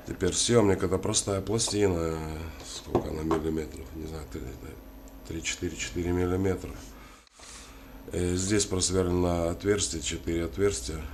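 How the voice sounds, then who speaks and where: Low male voice, slight cold, casual workshop demonstration, halting delivery, clearly noisy recording. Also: steady tone. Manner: halting, thinking aloud while working. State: has a cold. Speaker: DIY tutorial host. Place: indoors at a wooden worktable.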